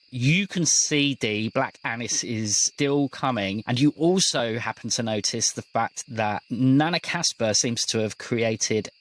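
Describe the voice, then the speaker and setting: A man's voice: mid-range voice, fairly bright tone, poor audio. A man narrating an improvised tabletop roleplaying game as game master.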